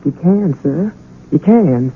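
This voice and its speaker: A woman's voice: sits low, expressive, takes it slowly, slight background noise. An actress playing an anxious, deferential older housekeeper and protective mother in a 1970s radio drama, hesitant and folksy. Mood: anxious, pleading. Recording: thin, phone-like 1970s radio recording.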